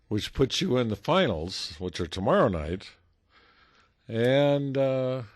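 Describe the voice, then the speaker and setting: Chatty low-voiced male radio host. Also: no echo. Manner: chatty, joking, lots of fillers. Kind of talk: casual radio interview.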